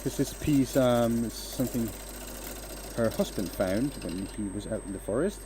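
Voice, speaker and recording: A man's voice: medium pitch, normal speed, background noise. Man chatting while woodturning. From Denmark. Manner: chatty, hesitant, talks to viewers while working. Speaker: woodturning livestream host. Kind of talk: casual livestream chat while working. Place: home workshop with a lathe.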